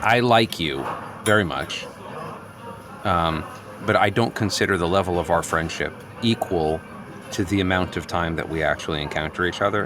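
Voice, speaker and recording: A man playing an earnest, neurotic, self-absorbed friend in an improvised radio comedy, halting and over-explaining. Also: sits low. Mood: blunt, apologetic. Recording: clearly noisy background ambience.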